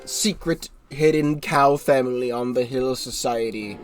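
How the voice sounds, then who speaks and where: A man's voice: medium pitch, unhurried, soft background music. A congested young man giving rambling, casual commentary while streaming a game live, often correcting himself and swearing offhand.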